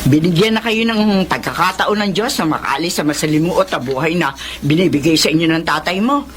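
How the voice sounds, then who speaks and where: A woman's voice: sits low, a little fast, a little hiss or hum, close to an everyday voice. An actress playing a scolding, protective older mother and grandmother in a radio drama, speaking in an emphatic, nagging way. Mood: stern.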